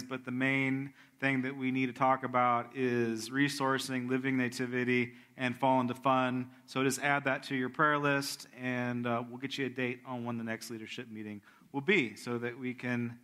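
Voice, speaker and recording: A middle-aged pastor with a bright, forceful voice, talking loosely to his congregation. He restarts phrases often and slips into a softer tone when he prays. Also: medium pitch. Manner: conversational, self-correcting, prayerful. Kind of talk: sermon with announcements and prayer.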